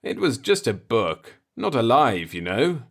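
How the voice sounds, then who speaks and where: A man's voice: medium pitch, big pitch swings, measured pace. A man voicing an earnest, somewhat impatient human space traveler who reasons persuasively in a science fiction audiobook. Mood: consoling. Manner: insistent, explanatory, persuasive.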